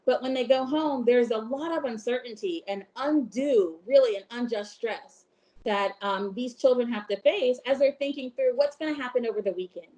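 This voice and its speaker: A woman from Brooklyn who works for a food bank, speaking from home in a measured, articulate way with occasional fillers. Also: a little high, mellow, low-quality recording. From Brooklyn, New York. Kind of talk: interview answers.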